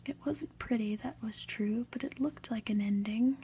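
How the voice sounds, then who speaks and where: A woman reads a storybook aloud for ASMR in a soft, breathy, gentle whisper, with deliberate, unhurried phrasing. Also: a little high, slight background noise. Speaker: ASMR reader.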